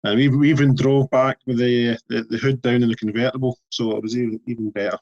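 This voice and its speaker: A Scottish man co-hosting a podcast who chats in a relaxed, rambling way and often repeats words. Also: mid-range voice, fast talker. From Scotland. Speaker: podcast co-host. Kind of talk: casual interview chat. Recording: dull lo-fi call audio.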